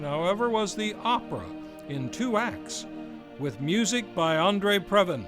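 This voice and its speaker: A man hosting an old-time radio show, reading a scripted introduction in a grandiose, theatrical showman's manner. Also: on the high side. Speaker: radio show announcer and host.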